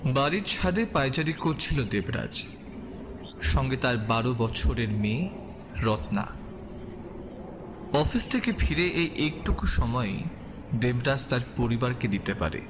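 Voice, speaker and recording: A man narrating a scripted suspense audio story as the storyteller. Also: medium pitch. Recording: long reverb, clear background ambience noise.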